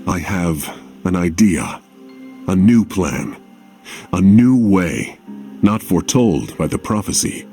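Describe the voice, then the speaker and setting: An actor playing an earnest, resolute warrior in a video game, speaking in a solemn, measured way. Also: male voice, low-pitched, sing-song, creaky, dark tone, soft background music, full character voice. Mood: determined. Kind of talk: dramatic video game voice acting.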